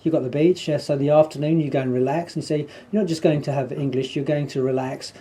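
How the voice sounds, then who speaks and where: A man, an English teacher, chatting casually in a radio studio interview, relaxed and lively when he makes a point. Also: a little high, quick pace. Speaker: English teacher and school founder. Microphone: studio microphone.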